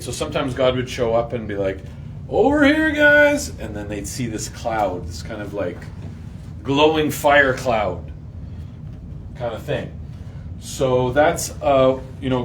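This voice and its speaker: Informal man teaching, slightly distant in noise. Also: medium pitch. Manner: animated, colloquial, acts out short quotes. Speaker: host leading a group Bible reading. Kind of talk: informal Bible teaching with questions to the group. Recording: noisy room, slightly distant mic.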